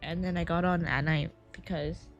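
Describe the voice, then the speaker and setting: A woman's voice: lowish voice, normal speed, dull audio, soft background music. A woman game streamer chatting casually on a live stream, her voice tired and a little scratchy. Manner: relaxed, rambling, chatty.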